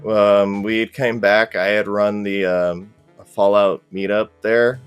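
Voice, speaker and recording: A man's voice: fairly low pitch, flat delivery, slow, clean tone, soft background music. A man speaking as a podcast guest in casual, easygoing, appreciative conversation. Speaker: podcast guest, cosplay group organizer. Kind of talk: casual interview chat.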